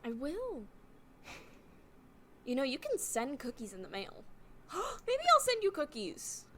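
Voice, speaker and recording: A woman's voice: high-pitched, wildly swooping pitch, slow pace, a bit bright, a little hiss or hum. A young adult woman co-hosting a podcast in playful, giggly, sing-song casual chat over a remote call. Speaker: podcast co-host.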